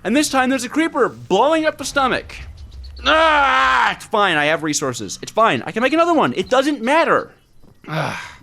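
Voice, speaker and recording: A man's voice: very high voice, very animated, moderate pace, gravelly, bright, forceful tone, soft background music. A man narrating a scripted gameplay story with dramatic, comically exasperated delivery. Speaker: gaming video creator.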